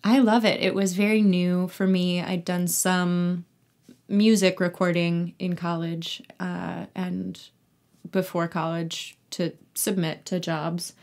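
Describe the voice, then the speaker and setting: A woman's voice: lowish voice, little pitch movement, takes it slowly, a little mellow. A young woman chatting casually as an interview guest about her own work. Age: young adult. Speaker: guest, actor and singer.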